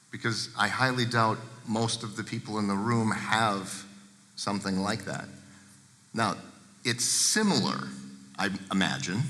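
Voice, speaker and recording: A man speaking as a guest at a seminar, talking candidly and addressing the audience directly in a deep voice. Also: fairly bright tone. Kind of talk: seminar talk to a live room. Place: large seminar room with a projector. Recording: echoey hall.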